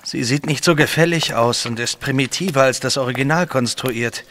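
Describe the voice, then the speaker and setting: An actor playing an earnest, anxious Victorian inventor in an audio drama, intense and precise. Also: male voice, mid-range voice, normal speed, fairly bright tone, slight background noise, close to an everyday voice. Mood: earnest, grave. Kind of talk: German audio drama acting.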